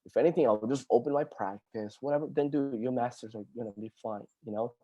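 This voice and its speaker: A man's voice: medium pitch, fast, dark tone, dull audio. A man from Brazil, an occupational therapist, chatting casually on a video call to answer student questions, with lots of "dude" and "like".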